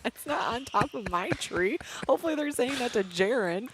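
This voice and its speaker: A woman's voice: fairly high pitch, lively intonation, noisy background. A woman commentating live pickleball in an indoor gym, bantering playfully and laughing often with her co-host. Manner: playful, teasing, quick to laugh. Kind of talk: casual live sports commentary.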